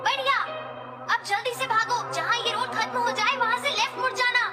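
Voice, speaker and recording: A woman's voice: very high-pitched, fairly even intonation, fairly quick. A woman voicing an anxious, helpful robot cat in a cartoon dub, coaching in urgent exclamations. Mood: encouraging. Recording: loud music under the voice.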